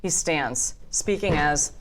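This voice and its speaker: A woman's voice: low-pitched, takes it slowly. A woman narrator reading screenplay stage directions as scripted narration at a table reading.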